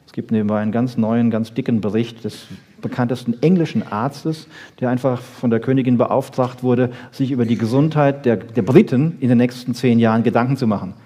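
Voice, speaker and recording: A man speaks as a lecturer explaining science to an audience without reading from a script. His voice is medium in pitch, dark and a bit husky. He makes his points emphatically, often tagging them with a short questioning check and putting rhetorical questions to his listeners. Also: brisk. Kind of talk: popular science lecture to an audience, freely spoken.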